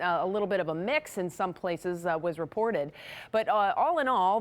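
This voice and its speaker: An American woman giving a conversational TV weather forecast in a chatty, folksy manner. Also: medium pitch.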